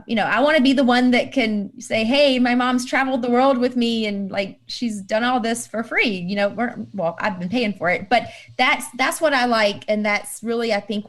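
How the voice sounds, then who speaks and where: A woman in her thirties answering interview questions in a casual, upbeat way and laughing readily. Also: medium pitch. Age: 30s. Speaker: guest.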